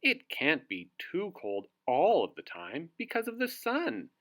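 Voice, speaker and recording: Man playing a slow, emphatic wise old wizard. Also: on the high side, huge pitch swings, fairly bright tone, voice pushed up, heavily acted. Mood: scornful. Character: wise old male wizard, scornful and explanatory.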